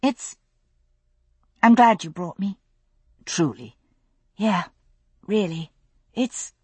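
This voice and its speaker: A woman voicing a quick, sharp-tongued young woman with a wry, brisk manner. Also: mid-range voice, breathy edge, dry recording, exaggerated voice. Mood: wistful.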